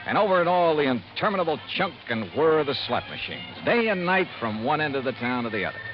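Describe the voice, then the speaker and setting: Wry insurance sleuth, clipped dramatic delivery, highly animated pitch, vintage radio drama, lo-fi sound. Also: male voice, fast talker. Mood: brisk, wry. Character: brisk, wry male freelance insurance investigator. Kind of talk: 1950s radio detective drama, first-person narration. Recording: dull vintage radio recording with music.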